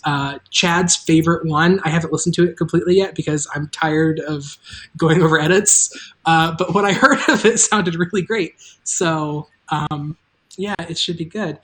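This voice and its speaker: Casual livestream host, mid-range voice, loose and informal, thoughts kinda trail off. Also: mid-range voice. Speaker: host and creator of an audio drama. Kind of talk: casual livestream chat, announcements.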